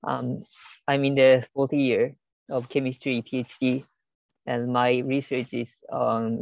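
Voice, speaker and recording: Gravelly-voiced man from Japan on a call. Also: mid-range voice, dry recording, muffled recording. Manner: brief, orderly self-introduction. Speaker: PhD student introducing himself. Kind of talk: online meeting introduction.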